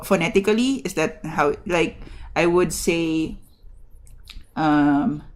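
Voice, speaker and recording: Woman streamer, low voice, slow rambly chat with lots of ums, faint lofi music behind. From Philippines. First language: Tagalog. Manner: rambling, halting, many fillers and restarts. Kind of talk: casual chat to stream viewers.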